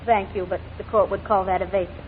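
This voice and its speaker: A gentle, earnest wife played by a woman in a 1940s radio play. Her mid-pitched voice is affectionate and pleading, heard through narrow, faintly noisy old broadcast sound. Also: fairly even intonation, quick pace, close to an everyday voice. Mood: tender. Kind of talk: 1940s radio drama acting.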